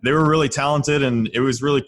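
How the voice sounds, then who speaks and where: A man from Florida chatting casually and haltingly as a podcast guest. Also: mid-range voice, steady tone, a bit bright. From Tampa, Florida. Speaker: podcast guest, audio drama creator. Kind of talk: casual interview chat.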